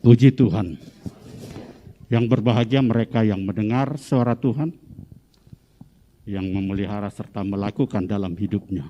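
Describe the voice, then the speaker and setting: A man in his fifties from Medan with a Medan accent, preaching a sermon slowly and emphatically and rising to loud exhortation. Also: fairly low pitch, lo-fi sound, a little hiss or hum. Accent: Medan Indonesian. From Medan, North Sumatra, Indonesia. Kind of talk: sermon to a congregation. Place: church hall. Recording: long church-hall reverb.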